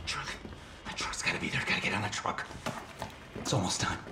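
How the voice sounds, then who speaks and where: An actor playing a desperate, broken prisoner in a game cutscene, muttering in agitation and repeating himself. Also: male voice, low voice, fairly even intonation, fairly quick, noisy background, lightly acted. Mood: obsessive, fearful. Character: frantic, broken male prisoner, half-mad and desperate. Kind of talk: dramatic game cutscene acting. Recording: echoey space under loud music.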